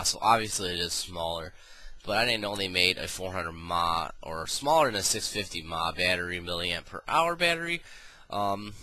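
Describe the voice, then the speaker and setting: A man's voice: low-pitched, sharp tone. A man reviewing a gadget in a casual, rambling way, full of ums and uhs, sounding nasal from a cold. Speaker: product reviewer.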